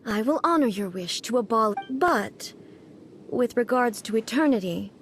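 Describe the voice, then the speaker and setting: Solemn, reserved goddess ruler. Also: female voice, a little high, animated, slight background noise. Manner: measured, grave, formal. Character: solemn, reserved female deity ruler devoted to eternity. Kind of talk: polished video game voice acting.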